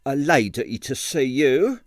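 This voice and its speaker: A man's voice: medium pitch, very animated, slow pace, no room sound. Man putting on a prim, indignant landlady. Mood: prim outrage. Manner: firm, disapproving, clipped. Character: prudish, indignant, respectable female landlady.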